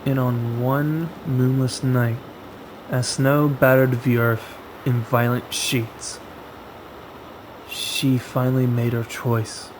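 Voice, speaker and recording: Very slow-speaking man narrating horror. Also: mid-range voice, level tone, background noise. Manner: deliberate, dramatic phrasing with frequent pauses. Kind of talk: scripted horror story narration.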